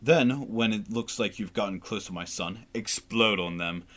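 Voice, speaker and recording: A man's voice: fairly low pitch, moderate pace, fairly bright tone. A teenage actor playing a treacherous middle-aged king in a school radio play, smug, mocking and theatrical. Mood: scheming. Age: teens. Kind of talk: amateur radio play acting.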